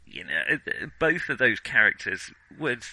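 A man's voice: mid-range voice, very animated, unhurried, creaky, sharp tone, no echo, low-quality recording. A man co-hosting a podcast, discussing films casually in a hesitant, discursive way that often corrects itself. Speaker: podcast co-host.

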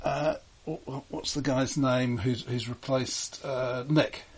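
A man chatting casually about films as a podcast co-host, in a halting, wry, conversational way. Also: medium pitch, fairly even intonation, husky. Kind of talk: casual film discussion.

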